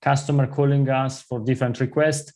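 A man's voice: medium pitch, level tone, fairly quick. A man from southern Switzerland whose first language is Italian, speaking English with an Italian-Swiss accent as an earnest podcast guest. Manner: earnest, warm, slightly non-native phrasing. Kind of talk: conversational interview.

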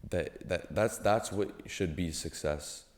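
This is a young man with an extremely deep voice who hosts a podcast. He muses reflectively and haltingly, restarting his phrases often. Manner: reflective, halting, many restarts and "you know". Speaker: podcast host. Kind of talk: casual chat.

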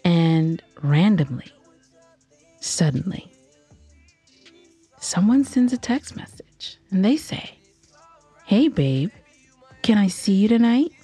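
A woman co-hosting a podcast, telling a story in casual banter with teasing, dramatic, drawn-out emphasis. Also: mid-range voice, sing-song, dark tone, husky, faint music behind. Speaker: podcast co-host.

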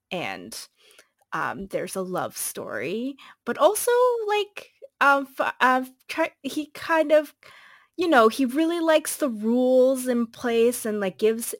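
A woman's voice: mid-range voice, dry recording, clean studio sound. A woman co-hosting a podcast, chatting casually about a book she recommends, with many ums and likes and frequent laughs. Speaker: podcast co-host.

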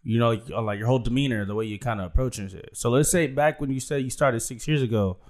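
An easygoing man at a mid pitch co-hosts a casual podcast. He asks his questions in loose slang and fills his sentences with "like.". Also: quick pace. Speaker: podcast co-host.

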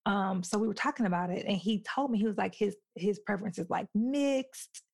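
A young adult woman talking candidly on a podcast, telling stories in an animated, emphatic way. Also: medium pitch, fairly quick, creaky.

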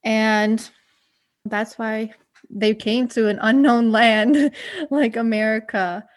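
A woman's voice: fairly high pitch, fairly even intonation, slow. A woman from Kosovo interviewing a guest, asking questions and reflecting at length in an engaged, conversational way.

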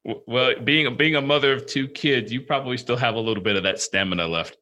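A man's voice: mid-range voice, quick pace, sharp tone. A middle-aged man co-hosting a casual interview, telling stories with a rambling manner and frequent restarts. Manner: rambling, storytelling, many restarts. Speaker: podcast co-host.

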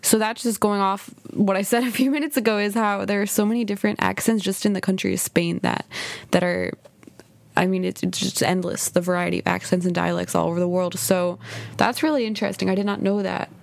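A young woman hosting a casual solo podcast, chatty and thinking out loud, often saying "kind of" and "like". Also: mid-range voice, fairly even intonation, fairly quick, vocal fry, no echo. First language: English. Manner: chatty, thinks aloud, many "kind of" and "like" hedges. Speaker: podcast host.

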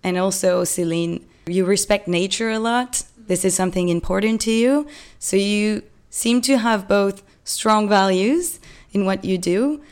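A woman interviewing two company founders, asking long questions in a gentle, hesitant way. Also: medium pitch, measured pace, clean tone.

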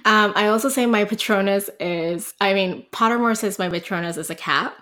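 A woman's voice: mid-range voice, moderate pace, a bit bright. Chatty woman from Puerto Rico. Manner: chatty, laughs often, many ums. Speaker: podcast guest. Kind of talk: casual interview chat.

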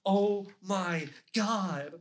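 A woman's voice: mid-range voice, very slow. Woman as an incredulous, uneasy diner. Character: astonished young female diner, uneasy among friends. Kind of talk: comedy sketch table read.

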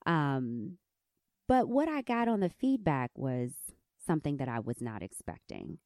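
A woman in her thirties hosts her own podcast with a country accent and a squeaky edge, her low, mellow voice swinging widely in pitch. She tells a personal story candidly, laughing now and then. The recording is dry and close. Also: very animated, unhurried, no echo. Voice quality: squeaky, high voice. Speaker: podcast host. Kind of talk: personal reflective podcast monologue.

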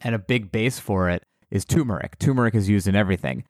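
Man's low voice, dry sound, chatty, tells stories that wander. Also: quick pace, no echo. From United States. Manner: rambling, animated storyteller. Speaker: podcast guest. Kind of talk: casual chat.

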